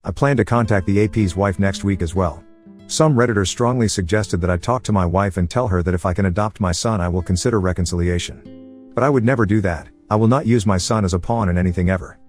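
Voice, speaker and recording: A man narrating a forum post in the first person as a scripted story, with a brisk, steady reading manner. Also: sits low, faint music behind.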